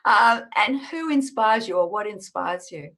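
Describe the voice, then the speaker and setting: A woman's voice: medium pitch, expressive, unhurried, fairly bright tone. A woman hosting a radio interview show, enthusiastic and upbeat. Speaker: host.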